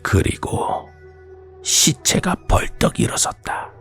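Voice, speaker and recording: A man narrating a scripted horror tale in a slow, suspenseful way, sometimes dropping to a hushed breath. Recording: music bed behind voice. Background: background music.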